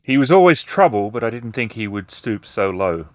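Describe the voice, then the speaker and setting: Man playing a grave, formal innkeeper, lowish voice. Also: very animated, moderate pace, no echo. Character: grave, helpful male village innkeeper.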